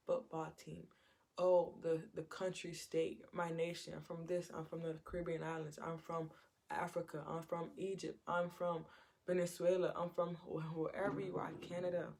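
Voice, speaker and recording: A woman's voice: on the low side, little pitch movement, moderate pace, creaky, a little mellow. A woman giving an informal Bible teaching in a conversational way, repeating her phrases and acting out quotes. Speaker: preacher.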